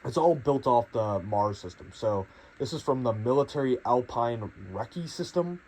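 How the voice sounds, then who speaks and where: A young man with a hoarse voice from a cold, casually reviewing a jacket in a rambling, hedging way with lots of fillers. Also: mid-range voice, expressive, normal speed, mellow, slight background noise, poor audio. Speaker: gear reviewer.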